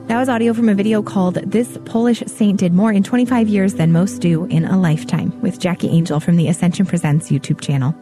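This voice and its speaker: A woman announcer reading scripted show credits and an introduction in a measured way. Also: fairly low pitch. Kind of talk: scripted narration.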